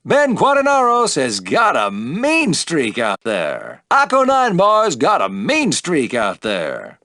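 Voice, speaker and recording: A man's voice: high-pitched, fairly bright tone, dry recording, studio quality, heavily acted. A man playing a brash, gleeful alien race announcer in a video game, calling the action with theatrical, punchy showmanship. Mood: excited taunting. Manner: theatrical, punchy, showy delivery. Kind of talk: animated sports commentary in a video game.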